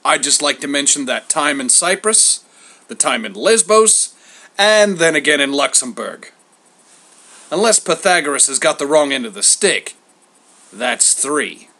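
A man's voice: fairly high pitch, lively intonation, measured pace, sharp tone, pitched above the performer's own voice, heavily acted. A man playing a blunt, sardonic travelling poet, wry and sneering, in a comic story. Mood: dry, needling. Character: Homer, sardonic blind travelling poet, gruffly familiar.

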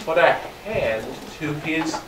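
Middle-aged American man, theatrical children's story reader, slow swooping delivery, roomy classroom. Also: on the high side. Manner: theatrical, expressive. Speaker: head of school reading to children. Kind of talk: animated storybook reading to young children. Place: kindergarten classroom, camera across room. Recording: echoey classroom, distant mic, noise.

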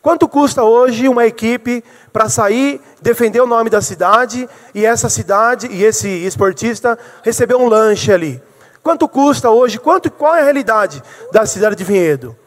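A man's voice: very high-pitched, expressive, moderate pace. A middle-aged man from Brazil, a city councilman, giving an earnest speech at a council podium, explaining his points and asking rhetorical questions. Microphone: podium microphone. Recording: long hall reverb.

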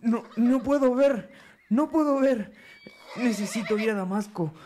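A shaken, blinded traveler acted by a man in a religious radio drama. His voice is high, with slight noise around it. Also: measured pace, warm tone. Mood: distressed.

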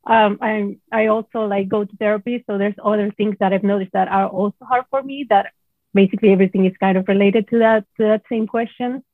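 A woman from Venezuela, a native Spanish speaker, chatting casually and haltingly as a self-conscious coaching client. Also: medium pitch, fairly even intonation, dry recording. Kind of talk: casual personal chat. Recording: muffled, dry remote-call sound.

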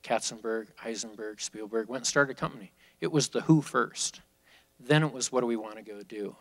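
Executive, male, 50s, mid-pitched, loose unscripted delivery on a stage handheld mic. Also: a little fast, creaky, fairly bright tone, rough recording. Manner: candid, off-the-cuff, with frequent restarts. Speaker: company executive speaking at a business school event. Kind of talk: conversational onstage Q&A. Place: large auditorium stage, seated.

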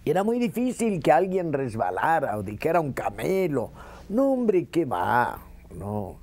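A man's voice: on the high side, huge pitch swings, measured pace, darkish tone. A man, a veteran radio actor, reminiscing emphatically in an interview and repeating phrases for effect.

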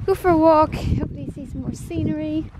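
A woman's voice: high-pitched, steady tone, fairly quick, noisy background. A woman vlogger talking casually and enthusiastically while walking outdoors through a woodland park, recorded on a handheld camera. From Northern Ireland. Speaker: travel vlogger.

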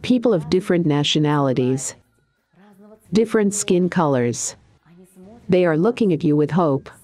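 A woman guiding a meditation in a calm, deliberate, soothing way, with pauses between short phrases. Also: low-pitched. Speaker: meditation guide and coach. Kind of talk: guided meditation narration.

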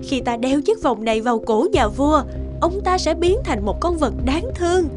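A wicked young fairy tale queen, speaks in a high voice. She is haughty and sly, with theatrical cartoon cruelty. Music plays behind her. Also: animated, normal speed. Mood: sly, gloating. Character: scheming, cruel young queen plotting against the king.

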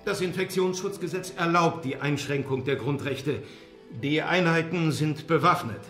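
An actor playing an authoritative male hospital doctor in a German radio drama, speaking in a formal, measured way. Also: fairly high pitch, fairly bright tone, close to an everyday voice. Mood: urgent, official. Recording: roomy hall, music underneath.

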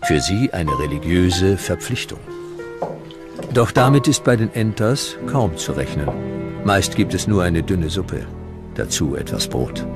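Man, very low pitch, measured documentary narration, serious, music underneath. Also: animated.

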